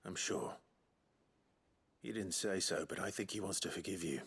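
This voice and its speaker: Deep-voiced, measured hero. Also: male voice, expressive, gravelly, a bit bright, slightly rough, exaggerated voice. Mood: warm, assured. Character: calm, noble, kindly male warrior hero. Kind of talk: dramatic video game dialogue. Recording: music under the voice.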